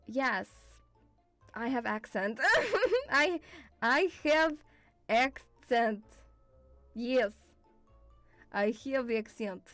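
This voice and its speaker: A woman with a mixed European accent touched by Scottish, chatting casually as a livestream host in an excitable, rambling, sweary way. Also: fairly high pitch, drawn-out words, long pauses, dry recording, soft background music. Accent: mixed European with Scottish influence. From Lithuanian-Russian background, partly grew up in Scotland.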